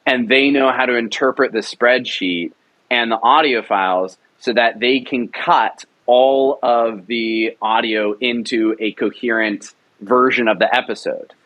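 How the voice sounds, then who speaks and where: Young man, enthusiastic, rambling, interview guest, mid pitch. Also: fairly bright tone, poor audio. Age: young adult. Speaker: writer-director of an audio drama. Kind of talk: casual interview talk.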